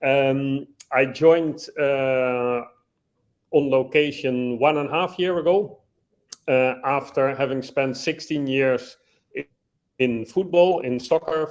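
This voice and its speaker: A man's voice: medium pitch, takes it slowly, darkish tone. A man from the Netherlands with a slight Dutch accent, introducing himself as a guest on a video call from his laptop, with some fillers.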